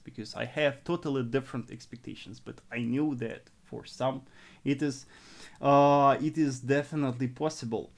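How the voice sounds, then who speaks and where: A young adult man from northern Russia with a Russian accent, answering live chat questions on a trading stream in a halting, earnest way with many restarts. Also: on the high side. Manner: halting and repetitive, conservative and earnest.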